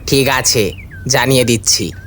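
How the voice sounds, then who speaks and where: A man's voice: mid-range voice, fairly even intonation, a little slow, fairly bright tone, slight background noise, natural voice. An actor playing an inquisitive male village grocer with a probing manner in an audio story. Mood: agreeable. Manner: questioning, probing.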